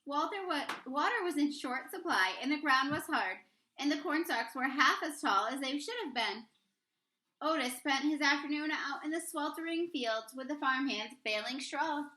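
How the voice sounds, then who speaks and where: A woman reading a children's picture book aloud expressively, with deliberate, dramatic emphasis on key words. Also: high voice, expressive, pushed and bright, roomy sound, rough recording. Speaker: read-aloud storyteller, teacher reading to children.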